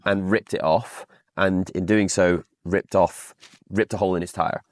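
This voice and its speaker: A man's voice: deep voice, steady tone, a little slow, no echo, studio quality. A man chatting casually on a podcast, telling anecdotes as an off-road driver.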